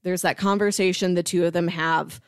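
A woman's voice: fairly low pitch, fairly even intonation, moderate pace, smooth, no room sound. Enthusiastic woman in a dry, close recording. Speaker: podcast co-host. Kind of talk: casual film discussion.

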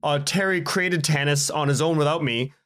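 A man's voice: fairly high pitch, moderate pace, fairly bright tone. A man, a podcast guest telling anecdotes in a casual, self-deprecating way.

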